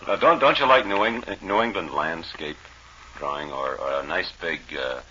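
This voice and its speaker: A man co-hosting radio banter in a deadpan, earnest, hesitant way. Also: low voice, moderate pace. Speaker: radio comedy co-host. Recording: dull old radio recording, faint noise.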